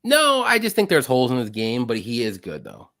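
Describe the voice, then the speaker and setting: Man in his late thirties, host of a live-streamed picks show, chatty, greets viewers by name between picks, animated voice that moves up and down a lot, mid-pitched. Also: a little fast. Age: 30s. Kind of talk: casual live-stream banter.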